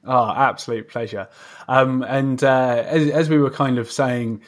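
Male podcast host, mid-pitched voice, friendly interviewer delivery. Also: steady tone, unhurried. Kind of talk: scripted podcast intro and friendly interview chat.

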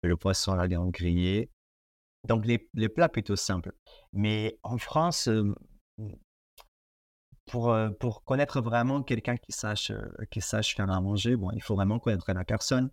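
A man's voice: on the low side, fairly even intonation, measured pace, no room sound, studio quality. A young man from Portugal, whose first language is Portuguese, speaking French with a Portuguese accent as an interview guest, hesitant with many fillers and restarts. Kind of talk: casual interview conversation.

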